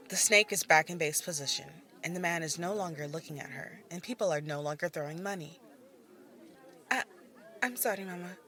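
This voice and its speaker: A woman narrating a fantasy audio story in a measured, soft-spoken manner. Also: mid-range voice, no room sound. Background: faint music.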